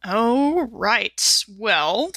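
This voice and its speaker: A woman player in a tabletop game, thinking out loud as she works through what her character does. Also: on the high side, sing-song, drawn-out words, dry recording.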